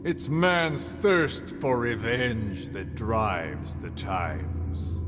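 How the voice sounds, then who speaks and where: An actor playing a vengeful villain mastermind in a video game cutscene, delivering theatrical, ominous monologues. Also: male voice, fairly low pitch, heavily acted. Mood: brooding, ominous. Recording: loud music under the voice.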